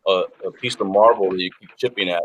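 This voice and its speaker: Low-voiced man rambling on a call. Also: steady tone, fast talker, a little hiss or hum. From United States, Cuban parents. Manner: rambling, many restarts and fillers. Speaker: beard product company owner. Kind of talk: casual group video call chat. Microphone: video call.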